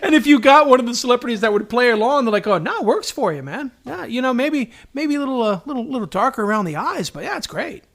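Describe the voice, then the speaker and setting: Male podcast co-host, high-pitched voice, enthusiastic fan chat, slangy and self-deprecating. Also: sing-song, fast talker, few pauses, a bit bright. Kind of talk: casual chat about superhero shows.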